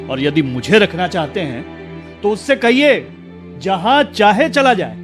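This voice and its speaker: A man's voice: high-pitched, expressive, measured pace. A man playing a proud, unyielding, angry elder brother in a radio drama, speaking sternly and emphatically. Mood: angry, resolute. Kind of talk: Hindi radio drama acting. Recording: music under the voice.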